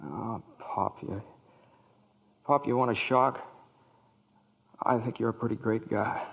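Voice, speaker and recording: A man's voice: medium pitch, fairly even intonation, takes it slowly, creaky, breathy edge, long reverb tail, narrow, tinny audio, exaggerated voice. An actor playing a restless young man dreaming big, earnest and often stammering, in 1940s radio acting, on a scratchy 1940s radio broadcast. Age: young adult.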